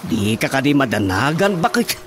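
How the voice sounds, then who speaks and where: An actor with an Ilocano accent playing a boastful, excitable husband and councilman in a radio comedy drama. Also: medium pitch, normal speed, lightly acted. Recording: music behind the dialogue.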